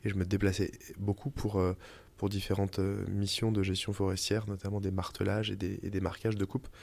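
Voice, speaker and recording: A young French man, an interviewee telling his story in casual conversation, pausing often with fillers and restarts. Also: sits low, flat delivery, gravelly, a little mellow. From France. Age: young adult. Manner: hesitant, many fillers and restarts. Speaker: interviewee, company founder. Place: family house in the countryside.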